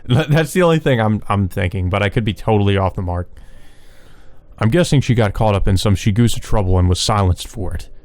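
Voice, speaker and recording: A man's voice: lowish voice, a little fast, a little mellow, no echo. A man reading a visual novel aloud and commenting casually as he plays. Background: game music.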